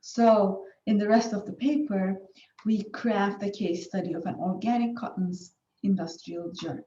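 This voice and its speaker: A woman's voice: medium pitch, fairly even intonation, a little slow, dark tone. A woman whose first language is Turkish, giving a formal academic research presentation with frequent fillers and restarts. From Turkey. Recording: far-off dull echoey room recording.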